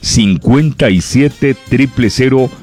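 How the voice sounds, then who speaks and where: A man's voice: medium pitch, slow, darkish tone, faint music behind. A man with a Costa Rican accent hosting a casual comedy radio show, chatting and sending greetings to listeners.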